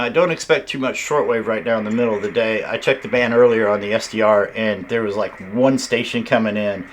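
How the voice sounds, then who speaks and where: Man demonstrating gear, noisy background. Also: lowish voice. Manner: explanatory, conversational. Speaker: radio reviewer and host. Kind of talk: casual hands-on product demonstration.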